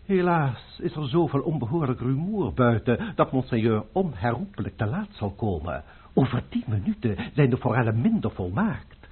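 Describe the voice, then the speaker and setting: A pompous, vain chef. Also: male voice, mid-range voice, big pitch swings, normal speed, breathy edge. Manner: haughty, ceremonious, insistent. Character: proud, pompous male restaurant chef. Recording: tinny old radio recording.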